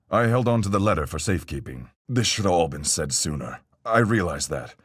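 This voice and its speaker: A man voicing a proud, blunt seafaring character in a video game, with gruff, hearty sailor talk. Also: deep voice, dry recording, studio quality, heavily acted. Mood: earnest, reluctant. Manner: hearty sailor talk with aye, lass, mate. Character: boastful, proud seafaring man, blunt but honest, in murder trial.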